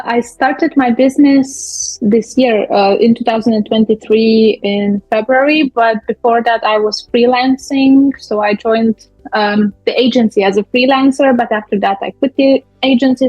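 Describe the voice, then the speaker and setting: Young woman, husky, higher voice, halting with fillers, muffled dry audio. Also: steady tone, a little mellow, no room sound, faint music behind. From Lithuania. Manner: friendly, halting, with many uhs and ums. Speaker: guest, business owner. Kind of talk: casual interview talk.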